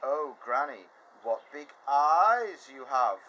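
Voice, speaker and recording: A wide-eyed little girl from a storybook, polite and wondering, speaking slowly in a raised voice, as a man reads her part. Her tone climbs above the narration and her words stretch out. Faint birdsong lies behind him outdoors. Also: mid-range voice, very animated, pushed and bright, dull audio, slight background noise, exaggerated voice.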